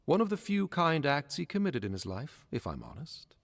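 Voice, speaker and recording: An actor playing the wry ghost of a former court servant in a video game, speaking in a courteous, musing, theatrical way. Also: male voice, on the high side, wildly swooping pitch, normal speed, gravelly, full character voice. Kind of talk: scripted video game character dialogue. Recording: long hall-like reverb.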